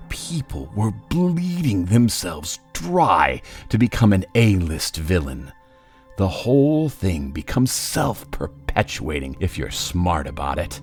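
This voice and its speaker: A man's voice: low voice, very animated, takes it slowly, a little mellow, full character voice. A man voicing a gloating, menacing, talkative supervillain in a comic book dub, with a taunting, condescending drawl. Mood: smug. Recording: faint music behind.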